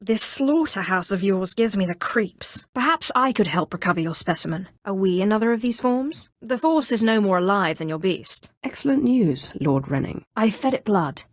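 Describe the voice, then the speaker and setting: A woman performs a young Sith sorceress from a video game, once a slave and now imperious. She speaks in a mid-pitched female voice with cool, dry mockery and haughty composure. The sound is dry, with no room to it. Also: expressive, normal speed, few pauses, dry recording, natural voice. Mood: smug, cutting, then formal, composed. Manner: cool, imperious, dryly mocking delivery. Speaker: video game player character. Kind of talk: scripted video game dialogue.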